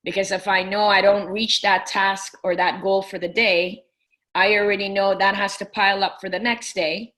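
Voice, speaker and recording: A woman's voice: mid-range voice, flat delivery, moderate pace, a bit bright, low-quality recording. A middle-aged woman business coach talking earnestly in an interview, with many restarts. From Persian family. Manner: earnest, full of restarts and fillers. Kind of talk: casual interview talk.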